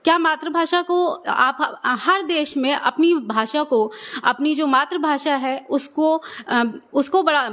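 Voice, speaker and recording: A middle-aged woman with a high voice speaks as a schoolteacher guest. She answers earnestly and persuasively, pausing now and then on fillers. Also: fairly bright tone. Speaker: government school teacher, guest.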